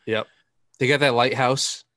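Deadpan man, close dry-sounding recording. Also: medium pitch, measured pace, dry recording. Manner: deadpan, laconic. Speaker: sports podcast co-host. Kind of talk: casual chat, sports banter.

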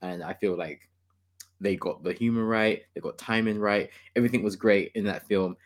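A man's voice: fairly low pitch, steady tone, moderate pace. A man hosting a casual gaming podcast, enthusiastic and opinionated, laughing often. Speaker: podcast host.

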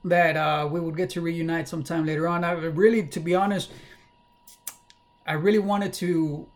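A young man from South Texas talks candidly in a casual livestream monologue, halting often with fillers and restarts. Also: a little high, steady tone. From South Texas, USA. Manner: candid, personal. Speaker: livestream host.

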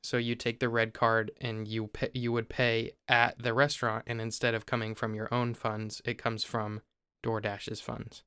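A young man from Ohio, host of a podcast, explaining things in a relaxed, casual way with small restarts. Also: mid-range voice, normal speed, clean tone, no room sound, studio quality. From Ohio, USA.

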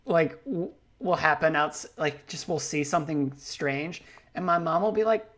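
A woman telling a story in casual podcast chat, animated and laughing often. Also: sits low, normal speed.

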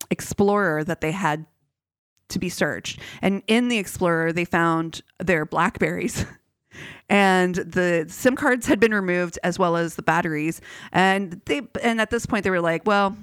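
A woman's voice: lowish voice, clean tone, dry recording. Female podcast co-host, casual crime storyteller, curses a lot, dry studio mic. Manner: swears freely, animated retelling with quoted voices.

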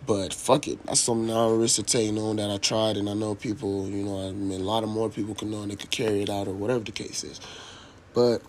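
A man's voice: fairly low pitch, little pitch movement, fast, slight background noise. A young man from Africa, a native speaker of an African language, talking casually and alone as a podcast host in a rambling, slangy, profane way. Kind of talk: casual solo podcast monologue.

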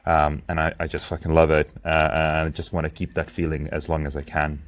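A man's voice: very deep voice, completely flat delivery, brisk. A young man from Lithuania, speaking English as a second language, talks through his plans in a reflective personal diary monologue, hesitating often with ums and restarts. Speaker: podcast host and founder.

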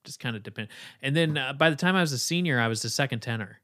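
A man's voice: mid-range voice, expressive, fast talker, a bit bright, no echo, studio quality. Easygoing mid-voiced man telling stories. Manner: easygoing storyteller, acts out quotes. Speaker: podcast co-host. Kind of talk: casual chat.

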